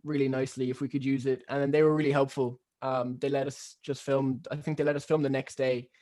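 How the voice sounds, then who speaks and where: A young man from Ireland with a softened Cork accent leaning American, rambling casually through an interview with lots of fillers and restarts. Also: medium pitch, flat delivery, quick pace. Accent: neutral Cork Irish with American influence. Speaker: musician guest.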